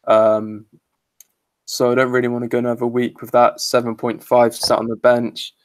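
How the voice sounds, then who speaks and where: A man's voice: mid-range voice, monotone, moderate pace, clear, resonant tone, no echo. A man co-hosting a fantasy football podcast in casual chat, speaking bluntly and swearing freely.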